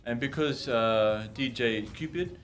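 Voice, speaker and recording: A man's voice: mid-range voice, a little slow, soft background music. A man hosting a radio chat show, playful and teasing with his guest. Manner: playful, laughs a lot, teasing. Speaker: radio host.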